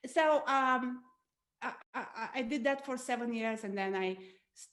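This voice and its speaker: A woman's voice: a little high, a little slow, fairly bright tone. A middle-aged woman from Romania with a Romanian accent, a business coach telling her story in a podcast interview with many "uh"s and small laughs. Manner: frequent "uh" and "um", laughs often.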